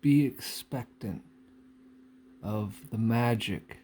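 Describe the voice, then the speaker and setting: A man giving an unscripted spiritual monologue in halting, deliberate phrases with pauses and repetition. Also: lowish voice, a little mellow, a little hiss or hum. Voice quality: frequent throat clearing. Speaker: spiritual speaker.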